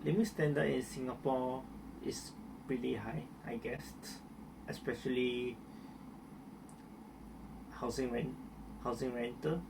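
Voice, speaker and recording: A man's voice: mid-range voice, steady tone, slow, gravelly, pauses often, distant mic, noisy background. A young man from Malaysia with a Malaysian accent, answering interview questions in a hesitant way with many restarts and fillers.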